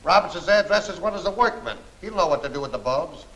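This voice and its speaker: A man's voice: high voice, expressive, fast talker, fairly bright tone. A man playing a smug, assured gang lieutenant giving orders in a 1940s film serial, on a faintly noisy old soundtrack. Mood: calm, assured. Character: unflappable, smugly amused gang lieutenant, adult male.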